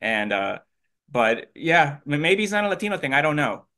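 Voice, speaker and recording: A middle-aged man hosting an interview show, asking questions in a relaxed, rambling way with hesitations. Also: on the high side, normal speed. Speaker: show host. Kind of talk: conversational interview.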